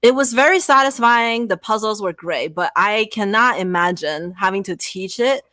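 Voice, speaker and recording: Female guest, non-native English, bright and dry, lively and self-deprecating. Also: mid-range voice, normal speed, no room sound. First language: not English. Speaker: guest content creator. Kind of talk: casual livestream chat.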